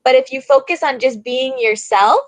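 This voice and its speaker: Woman English tutor with a slightly high voice, encouraging and chatty, dry close sound on a video call. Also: normal speed, dry recording. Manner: encouraging, filler-heavy with "like" and "right?". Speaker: English teacher.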